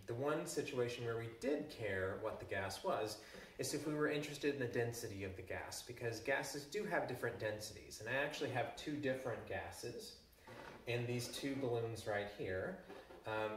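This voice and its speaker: A man in his thirties, a chemistry teacher, giving a relaxed classroom demonstration with frequent ums. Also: medium pitch, normal speed, a little hiss or hum. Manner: relaxed explaining with frequent ums, handling balloons. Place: large living room with a stone wall, indoors. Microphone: camera at a distance. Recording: echoey room, voice from far off.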